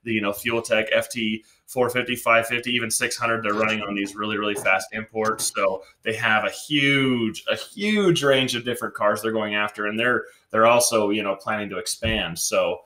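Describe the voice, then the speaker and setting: Upbeat host guy, crisp forceful voice, kinda echoey garage room. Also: lowish voice, fairly even intonation, normal speed, few pauses. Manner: upbeat, enthusiastic. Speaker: show host. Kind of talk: casual podcast chat. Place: garage studio indoors. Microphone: headset.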